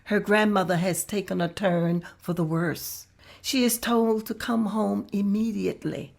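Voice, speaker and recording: A woman narrating the stage directions at a table read in a plain, steady way. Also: mid-range voice, unhurried, vocal fry.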